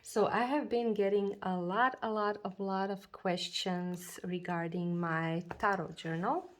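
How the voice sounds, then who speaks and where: Slow, slightly hoarse woman showing journals. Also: mid-range voice, steady tone. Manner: slow, hesitant, many fillers and restarts. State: a bit hoarse from a cold. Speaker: journaling video creator. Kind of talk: casual chat showing a planner.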